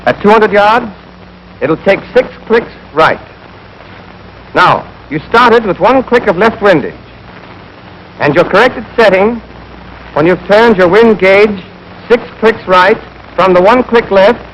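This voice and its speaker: A man's voice: high-pitched, expressive, slow, pauses often, fairly bright tone. A young American man giving scripted, clipped, step-by-step marksmanship instruction as an army instructor in a 1940s training film. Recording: noisy 1940s film soundtrack.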